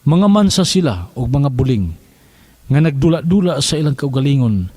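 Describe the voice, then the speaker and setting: A man's voice: medium pitch, very animated, normal speed, mellow, no room sound. A man giving a solemn, scripted reading of scripture. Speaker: scripture reader. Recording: music behind.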